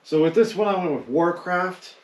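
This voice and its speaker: A man's voice: sits high, normal speed, slight background noise. A man speaking as a podcast co-host, chatting casually about his picks with a group. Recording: echoey room, distant from mic.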